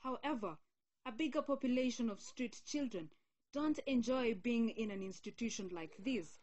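A young woman reporter reading a scripted news feature in a measured, solemn way. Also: fairly high pitch, background noise.

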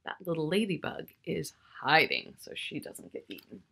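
Animated, bright-voiced woman reading to children. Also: low voice, gravelly, slight background noise. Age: middle-aged. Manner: expressive, drawn-out key words with questions and asides to the listener. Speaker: children's author reading her book. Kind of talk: animated picture-book read-aloud for young children. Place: small furnished room at home, indoors.

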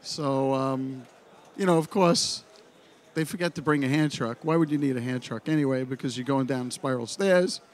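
A middle-aged man with a Brooklyn accent gives a blunt, animated seminar talk into a handheld microphone on a dark expo stage. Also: medium pitch, moderate pace. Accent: Brooklyn New York. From United States. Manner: blunt, animated storyteller, full of "you know". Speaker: pinball company head giving a seminar. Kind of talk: informal conference talk.

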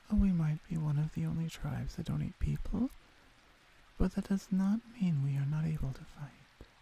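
A woman voicing a wary, protective orc huntress in an ASMR roleplay, soft and blunt with an unhurried delivery. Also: sits low, gravelly, slightly rough, exaggerated voice, low-quality recording.

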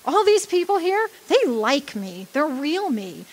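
A woman playing a loud, brassy, flashy dead woman, wry, defiant and flirtatious, in a radio drama. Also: high voice, sing-song, unhurried. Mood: earnest.

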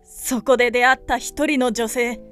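An actress playing an earnest long-lost lover, grandly romantic and dramatic, in a comedic voice drama. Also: female voice, on the high side, fairly even intonation, moderate pace, fairly bright tone, clean tone, natural voice. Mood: melodramatic. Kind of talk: melodramatic comedy voice drama acting. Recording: piano music under the voice.

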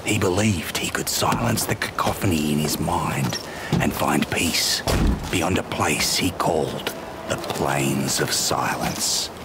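An actor playing a grave storyteller, giving solemn, portentous narration for a cinematic video game. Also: male voice, extremely low pitch, heavy background noise. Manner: solemn, portentous, measured phrasing. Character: grave, brooding storyteller of a wasteland saga. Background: loud music.

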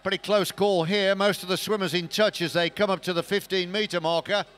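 Guy doing live swim race commentary, high pitched n really bright forceful voice. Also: fairly even intonation, brisk. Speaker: swimming commentator.

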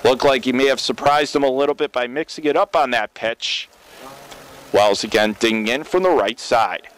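A man's voice: medium pitch, moderate pace. A man calling a baseball game live as a radio play-by-play commentator. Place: open-air baseball stadium. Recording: clear outdoor ballpark ambient noise.

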